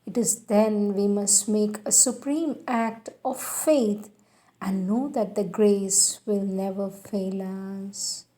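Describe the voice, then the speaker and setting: A woman reading spiritual teachings aloud in a devotional style, deliberate and emphatic, repeating phrases for emphasis. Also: medium pitch, steady tone, mellow. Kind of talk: devotional read-aloud with announced quotations.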